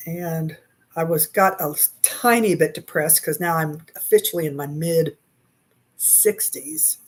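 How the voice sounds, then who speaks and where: A woman's voice: low-pitched, expressive, measured pace. A woman in her sixties chatting casually and laughing easily while she crochets, answering viewers' comments on a livestream from a small room at home, recorded on a webcam. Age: 60s. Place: small bedroom at home, indoors. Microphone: webcam at desk.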